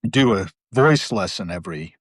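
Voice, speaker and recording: A man's voice: low-pitched, lively intonation, normal speed, no echo, clean studio sound. A middle-aged man hosting a casual live video chat, talking in long, rambling, digressive stretches. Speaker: host. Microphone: video call.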